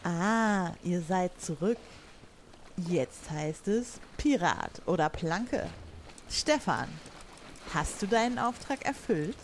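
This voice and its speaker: A woman's voice: on the low side, lively intonation, slow pace, a little hiss or hum. A woman playing a stern, authoritative pirate captain in an improvised audio drama. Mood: commanding.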